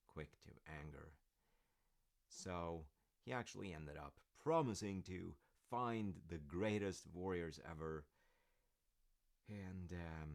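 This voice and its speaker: Deep, gravelly storytelling dad voiced by a man. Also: exaggerated voice. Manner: rambling, hesitant, gently reassuring. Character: supportive, rambling storytelling dad comforting his grown child. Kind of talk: roleplay audio, one-sided conversation.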